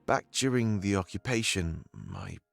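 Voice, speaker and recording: A man's voice: fairly low pitch, wildly swooping pitch, a little slow, vocal fry, a bit bright, exaggerated voice. An actor playing a grieving, self-reproaching male warrior in a visual novel, sombre and confiding. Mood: haunted. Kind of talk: dramatic visual novel acting. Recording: background music under the voice.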